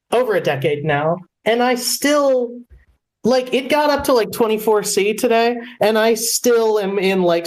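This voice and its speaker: A woman at a medium pitch, getting over a cold. She giggles and trips over her words as she chats. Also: low-quality recording. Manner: giggly, stumbling restarts. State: recovering from a cold. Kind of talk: casual chat.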